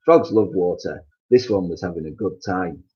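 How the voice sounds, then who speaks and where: A man reading a children's story aloud as an expressive narrator, on a slightly muffled recording. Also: low voice, moderate pace.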